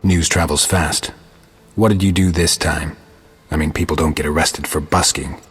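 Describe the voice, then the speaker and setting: Casting: sardonic game monster hunter, world-weary, deadpan monotone, badly husky voice. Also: male voice, moderate pace, in a large hall, soft background music, heavily acted. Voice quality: low gravelly rasp. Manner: dry, deadpan, terse. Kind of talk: video game voice acting.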